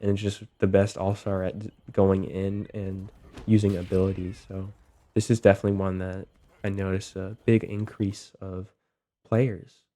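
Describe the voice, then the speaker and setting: A young man getting over a cold, talking through game tips in a relaxed way with lots of ums and likes. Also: low-pitched, normal speed. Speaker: gaming video host. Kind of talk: casual gameplay commentary.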